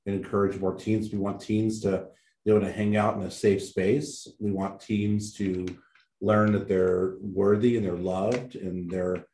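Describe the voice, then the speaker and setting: A man from a youth center answering interview questions in an earnest, steady way. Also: lowish voice, measured pace. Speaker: teen center staff guest. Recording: roomy echo.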